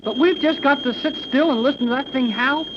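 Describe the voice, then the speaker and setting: A man's voice: very high voice, fairly quick. A man playing an impatient, boyish young adventurer in a 1930s radio serial, blunt and slangy. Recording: muffled, noisy 1930s radio recording.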